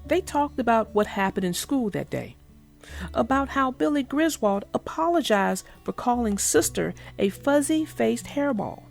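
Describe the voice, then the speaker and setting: A woman's voice: a little high, very animated, measured pace, vocal fry, soft background music. A woman narrating a children's storybook and talking to young listeners in an emphatic, instructive way. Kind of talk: read-aloud storytelling and explaining to children.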